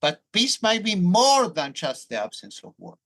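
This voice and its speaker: A man's voice: sits high, huge pitch swings, normal speed, bright, forceful tone. A man in his sixties, born in Argentina, who speaks English with a Hebrew accent and explains his research at length in an academic interview. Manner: thoughtful, explanatory, with restarts. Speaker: professor, guest.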